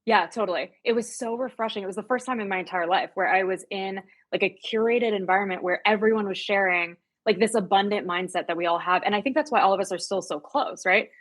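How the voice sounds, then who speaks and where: A woman's voice: medium pitch, fast talker, few pauses. A woman from the United States and Germany talking casually as an interview guest, chatty and emphatic, repeating words for stress. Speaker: real estate agent, podcast guest.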